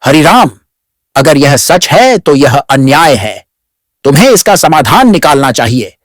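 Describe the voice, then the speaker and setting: A man as village elder judge, fairly high voice, lively intonation, grave, authoritative, cartoon tale. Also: no room sound, studio quality. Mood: stern, grave. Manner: measured, authoritative. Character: grave, fair-minded male village council head. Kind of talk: Hindi animated moral story dialogue.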